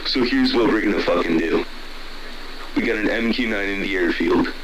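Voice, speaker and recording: Man acting deep, gruff military commander. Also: normal speed, muffled recording, over a noisy crowd, lightly acted. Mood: resolute, businesslike. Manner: blunt, commanding, swearing. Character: gruff, determined military commander rallying troops to rescue a soldier. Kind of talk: radio message acting in audio horror.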